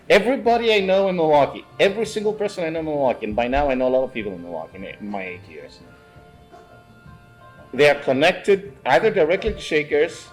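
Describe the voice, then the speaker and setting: A man with a Greek accent, a guest chatting in a bar, speaking haltingly with many fillers and restarts. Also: fairly high pitch. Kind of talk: casual chat.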